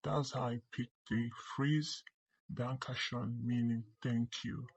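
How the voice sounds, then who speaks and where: A man's voice: medium pitch, fairly even intonation, takes it slowly, breathy edge, highs cut off. A man speaking as a student, replying to a teacher in a class.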